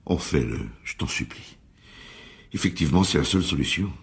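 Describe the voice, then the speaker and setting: A man's voice: a bass voice, expressive, brisk, vocal fry, heavily acted. An actor playing an anxious, pleading theatre boss in a French radio drama, stammering and repeating himself as he reproaches himself. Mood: relieved, grateful. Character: Georges, anxious, pleading theatre show boss, easily overwrought.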